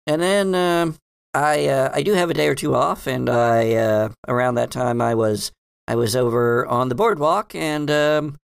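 A man's voice: mid-range voice, unhurried, gravelly, smooth, no echo, clean studio sound. A man playing a hesitant, bookish librarian in a tabletop game, rambling and hedging in an earnest, mildly dismissive way. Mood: hesitant, recounting. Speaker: game master. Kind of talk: improvised in-character tabletop roleplay.

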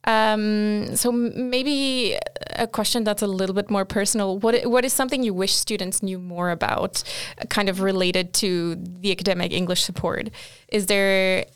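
A woman's voice: medium pitch, steady tone, normal speed, few pauses, clean tone, dry recording, clean studio sound. A woman from Portugal whose first language is Portuguese, hosting and asking questions in a friendly, inquisitive way. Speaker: podcast host. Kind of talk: conversational interview.

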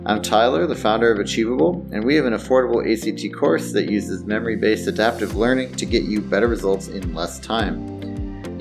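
Male podcast host, upbeat, casual, slightly low voice. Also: creaky. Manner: upbeat, casual, uses "right?" and "like". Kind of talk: podcast hosting and interviewing.

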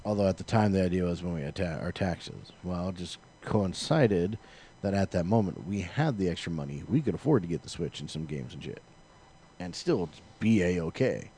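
Low-voiced man chatting casually, swearing freely. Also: expressive, normal speed, mellow, slight background noise. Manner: profane, informal. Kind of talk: casual chat about video games.

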